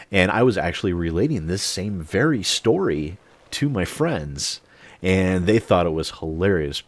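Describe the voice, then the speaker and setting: A man in his late thirties, an animated storyteller who swears freely, telling a personal story straight to the mic. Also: low-pitched, no echo. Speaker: channel host. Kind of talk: storytime monologue.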